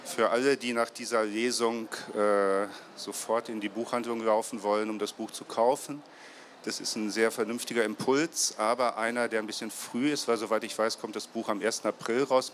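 Mann mit tiefer Stimme moderiert auf der Messebühne. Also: male voice, lowish voice, a bit bright, a little hiss or hum. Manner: halting, many fillers and restarts. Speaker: moderator, publisher's marketing head. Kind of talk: moderated book talk. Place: trade fair stage before a seated audience. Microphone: handheld mic.

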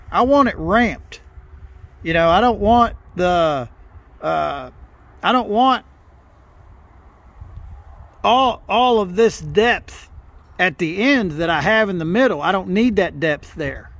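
A middle-aged man with a fairly high voice explains his welding work outdoors in a gravel yard. He talks in a plain, practical way, circling back and restarting phrases as he goes. The camera sits close to his face. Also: a bit bright. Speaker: welder teaching on camera. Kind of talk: hands-on welding tutorial.

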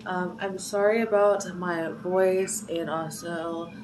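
A young woman vlogs from a small pantry at home, her voice thick and stuffed up from a cold. She rambles along with lots of fillers, a little far from the phone, with a clear hum of room noise behind her. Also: fairly low pitch, steady tone, slow pace. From Ohio. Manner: chatty, rambling with many fillers. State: congested from a cold. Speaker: vlogger. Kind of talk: casual vlog to camera. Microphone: phone camera at arm's length.

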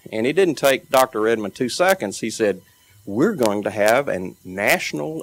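A man in his fifties speaks into a podium microphone in a large banquet hall. His voice sits low with a gravelly edge, and he stumbles through with frequent fillers and restarts. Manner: halting, many uh fillers and restarts. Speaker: library program manager. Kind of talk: speech at an award event.

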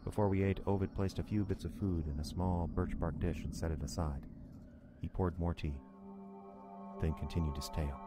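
A man playing the solemn narrator of a horror audio drama, speaking in a measured, grave, scripted way. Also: deep voice, steady tone, loud music behind the voice, natural voice. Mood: calm, solemn. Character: solemn, unhurried male narrator of a horror tale.

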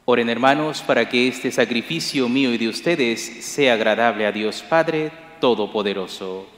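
Solemn priest in an echoey church. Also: male voice, mid-range voice, a bit bright. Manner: measured, solemn liturgical recitation. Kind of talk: celebrating Mass, liturgical prayers. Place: large church sanctuary, at the altar. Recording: echoey church with long reverb.

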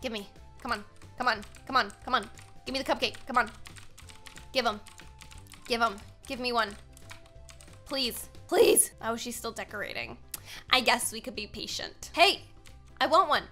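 A woman in her twenties with an American accent, giving excitable, playfully dramatic gameplay commentary and chatting casually to viewers at a close mic in a small room. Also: fairly high pitch, moderate pace, long pauses, soft background music. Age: 20s. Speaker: gaming video host. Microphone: desk microphone, close.

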